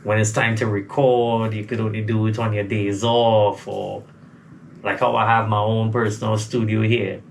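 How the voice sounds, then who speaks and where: Trinidad-born male artist guest, faint accent, gravelly, hesitant. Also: on the low side, level tone, moderate pace, slight background noise. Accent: faint Trinidadian, mostly lost. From Trinidad and Tobago. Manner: hesitant, repeats words as he starts. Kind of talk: casual interview.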